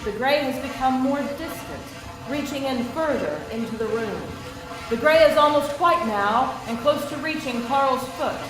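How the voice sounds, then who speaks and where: High-voiced woman reading screenplay directions. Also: animated, unhurried. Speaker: stage directions narrator. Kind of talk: scripted screenplay narration. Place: live indoor table read. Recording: echoey room with faint hiss.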